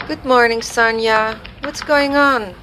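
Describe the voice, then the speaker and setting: This is a woman acting out a male office worker in an audio drama. He is friendly, casual and a little sarcastic, pitched slightly high over faint background noise. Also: steady tone, takes it slowly, sharp tone, poor audio.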